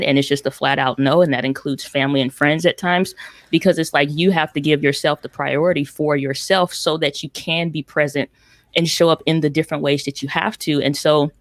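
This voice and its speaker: A woman's voice: low voice, no room sound. A woman speaking as a panelist, emphatic and conversational, with many "it's like" asides. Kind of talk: panel discussion.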